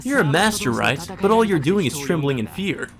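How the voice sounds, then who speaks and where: Visual novel villain: arrogant young male, high and shrill, scornful, animated delivery. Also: fairly quick. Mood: mocking, scornful. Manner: taunting, scornful. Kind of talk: visual novel character dub.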